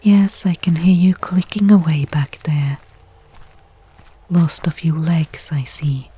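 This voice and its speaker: A stranded woman explorer, voiced by an ASMR actress, murmurs to herself in a very breathy, low voice. She is slow and halting, with a soft, mellow tone. Her temper is wry, stubborn and resigned, as in an intimate sci-fi audio roleplay. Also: heavily acted, poor audio. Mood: weary, resigned. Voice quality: breathy, whispery.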